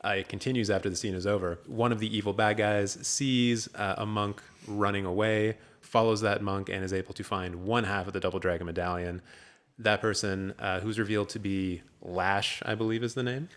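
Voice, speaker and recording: A man's voice: lowish voice, fairly even intonation, fairly quick, a bit bright. A man hosting a podcast, giving a rambling, jokey, off-the-cuff recap in a casual chat style. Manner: rambling, jokey, improvised storytelling. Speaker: podcast host.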